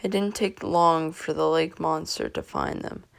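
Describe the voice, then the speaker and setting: A woman's voice: deep voice, wildly swooping pitch, unhurried, vocal fry, clean tone. A young woman reading a children's mystery story as scripted narration. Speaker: storybook reader.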